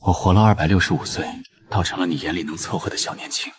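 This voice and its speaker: A man playing a cool, aloof and powerful man in an animated web drama, speaking in a terse, dry, matter-of-fact way. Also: deep voice, quick pace, lightly acted.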